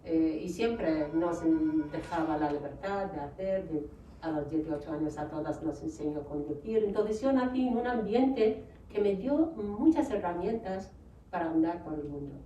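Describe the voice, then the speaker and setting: A woman's voice: on the low side, expressive, normal speed, warm tone. Iraqi-accented woman in an echoey hall. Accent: Iraqi Arabic. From Iraq. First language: Arabic. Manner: hesitant, many fillers. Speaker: writer and panel guest. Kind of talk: panel talk. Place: lecture hall. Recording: roomy far-off mic, faint noise.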